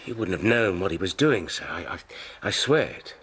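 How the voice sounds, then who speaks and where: An actor playing a deferential but stubborn manservant, formal, dignified and restrained, in a radio drama. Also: male voice, low voice, lively intonation, normal speed, fairly bright tone, slight background noise. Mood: pleading.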